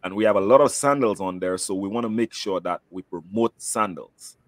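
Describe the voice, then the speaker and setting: Male interview host, Saint Lucian accent. Lowish pitch, dry sound, casual promotional delivery, talkative. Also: fairly quick, no room sound. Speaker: host, travel agent.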